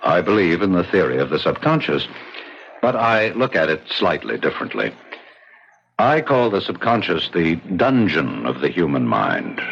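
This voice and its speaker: Smooth condescending shrink, american, deep voice, old 70s radio show, kinda muffled and noisy. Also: male voice, fairly bright tone, exaggerated voice. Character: smooth, probing male psychiatrist with unsettling calm. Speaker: actor in a radio drama. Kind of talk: 1970s American radio drama acting. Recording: dull, noisy 1970s radio recording.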